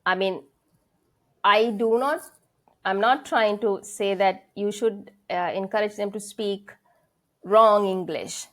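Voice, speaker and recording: A Sri Lankan-accented woman in middle age speaks as a guest lecturer, earnest and reflective. She pauses often to gather her thoughts, restarting phrases mid-stream. Her pitch and delivery sit at an ordinary middle level. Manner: earnest, thinking aloud with frequent fillers and restarts. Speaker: senior English lecturer, guest. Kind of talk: conversational interview.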